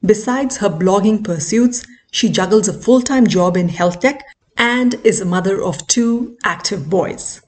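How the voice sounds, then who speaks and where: A woman's voice: mid-range voice, expressive, measured pace, roomy sound. A woman hosting a podcast, reading scripted narration in an earnest way that speaks straight to the listener. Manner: earnest, direct address to listener. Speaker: podcast host.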